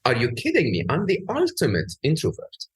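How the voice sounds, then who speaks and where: A man in his fifties with an other than English, hosting a podcast in casual conversation, soft-spoken with halting restarts. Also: mid-range voice, huge pitch swings, breathy edge. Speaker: podcast host.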